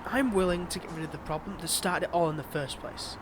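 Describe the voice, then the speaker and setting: Man playing villainous ex-lover, fairly high male voice, flirty, manipulative, coaxing, faint menace, drawn-out phrasing, romantic ASMR drama, noisy background. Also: lightly acted. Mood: flirtatious, persuasive. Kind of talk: romantic ASMR audio roleplay.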